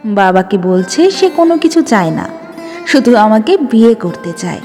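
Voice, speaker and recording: High-voiced woman narrating in heavy reverb. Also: very animated, moderate pace, warm tone. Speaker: storyteller. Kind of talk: dramatic first-person audio story narration. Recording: long reverb with music behind. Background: background music.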